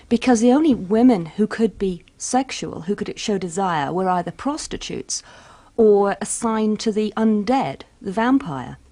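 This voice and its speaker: Mellow-voiced woman lecturer. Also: medium pitch. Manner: measured, storytelling explanation. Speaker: lecturer in women's studies. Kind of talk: expert interview commentary.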